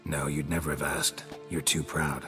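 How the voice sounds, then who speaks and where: A man playing a gruff, stoic monster hunter in a video game, terse and dry-witted with a gravelly, deadpan delivery. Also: a bass voice, moderate pace. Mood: dry, measured. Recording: game music behind the voice.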